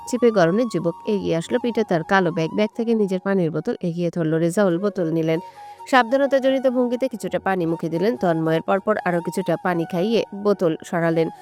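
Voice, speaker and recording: A woman's voice: lowish voice, fairly quick, darkish tone. A woman reading a scripted story as a dramatic narrator. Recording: music bed under the voice. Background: background music.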